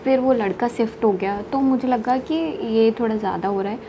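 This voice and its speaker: Young woman vlogger, high voice, chatty storyteller, phone at arm's length over background noise. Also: brisk. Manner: chatty, mixes English words into Hindi. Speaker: travel vlogger. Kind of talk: casual vlog chat. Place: small bedroom at home, indoors. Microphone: phone camera at arm's length. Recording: noisy train and station ambience.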